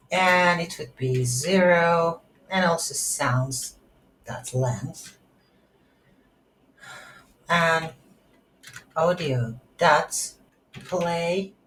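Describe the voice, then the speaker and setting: A woman with a low voice talks slowly through a coding tutorial. She thinks aloud in halting pieces as she works. The room adds noticeable echo to her words. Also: a bit bright. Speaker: tutorial instructor. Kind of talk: unscripted software tutorial narrated while coding. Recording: roomy echo, faint background noise.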